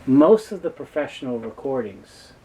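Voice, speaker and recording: A man giving a halting, explanatory technical talk as an expert guest in an interview. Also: mid-range voice, big pitch swings, mellow, poor audio. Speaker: guest expert on reel-to-reel tape.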